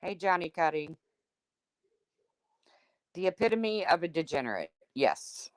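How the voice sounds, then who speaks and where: A woman with a deep, husky smoker's voice hosting a livestream, reading chat comments and answering them in a blunt, chatty way. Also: big pitch swings, a little slow, no echo, rough recording. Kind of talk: casual chat with viewers.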